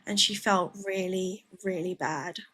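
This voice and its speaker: A woman's voice: lowish voice, steady tone, measured pace, bright, forceful tone. Chatty streamer woman from the Philippines. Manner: rambling, chatty, laughing asides. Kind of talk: casual gameplay chat with viewers.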